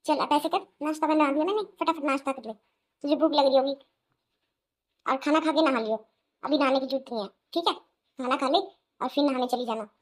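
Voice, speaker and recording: A woman's voice: high voice, brisk, long pauses, close to an everyday voice. A woman voicing a fond, coaxing middle-aged mother who dotes on her child in a cartoon. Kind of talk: melodramatic Hindi cartoon voice acting.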